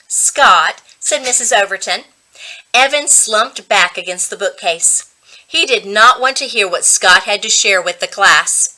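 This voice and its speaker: A woman reading a children's book aloud as a reading coach, with expressive, dramatic storytelling. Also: medium pitch, unhurried, low-quality recording.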